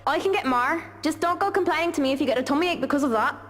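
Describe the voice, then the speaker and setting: A woman's voice: high voice, very fast, fairly bright tone. A woman voicing a brash, protective teenage schoolgirl who talks tough, cocky and teasing. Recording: reverberant game audio with music.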